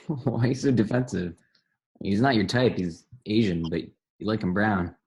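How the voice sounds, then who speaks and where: A man's voice: fairly low pitch, measured pace, a little mellow, slightly rough. A man acting a sardonic, heartbroken young guy in a table read, in a blunt, teasing, conversational way.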